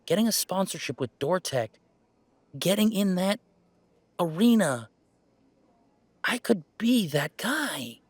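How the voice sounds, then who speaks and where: A man playing an earnest, playful young werewolf gamer in an intimate, conversational roleplay audio, speaking in a halting, emphatic way. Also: sits high, slightly rough, dry recording, exaggerated voice. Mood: earnest, hopeful. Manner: halting, emphatic, expressive, with sighs and chuckles.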